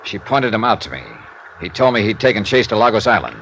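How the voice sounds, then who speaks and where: An actor playing a tough but sympathetic insurance investigator in a 1950s radio detective drama, with an American accent and a clipped, wry delivery. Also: male voice, lowish voice, sing-song, fast talker, fairly bright tone, poor audio. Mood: weary, sympathetic. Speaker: lead actor and narrator. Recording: hissy vintage radio recording.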